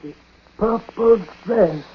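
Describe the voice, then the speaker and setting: Slow, halting, bitter old uncle. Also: male voice, high-pitched, breathy edge, full character voice. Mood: grave warning. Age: older. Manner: halting, grave, broken phrases. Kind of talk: 1940s radio acting. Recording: noisy, muffled 1940s radio recording.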